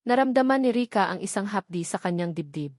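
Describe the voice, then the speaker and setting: A woman's voice: medium pitch, lively intonation, fairly quick, darkish tone, no echo, clean studio sound. A woman with a Filipino accent reading a scripted dramatic story as its narrator.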